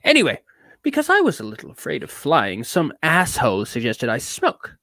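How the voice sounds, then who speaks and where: A man's voice: fairly high pitch, wildly swooping pitch, normal speed, bright, forceful tone, no echo, studio quality, heavily acted. A man voicing a bitter, neurotic ranter in a theatrical dramatic reading, with a put-on accent that shifts around. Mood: contemptuous ranting. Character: edgy, bitter, ranting neurotic man telling a travelogue. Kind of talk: dramatic reading of a rambling rant.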